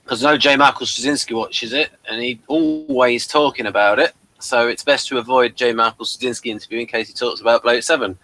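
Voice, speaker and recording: A man's voice: medium pitch, fairly even intonation, brisk, few pauses, sharp tone, low-quality recording. A man in casual discussion, hesitant and searching for his words as he goes. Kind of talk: casual chat about old television.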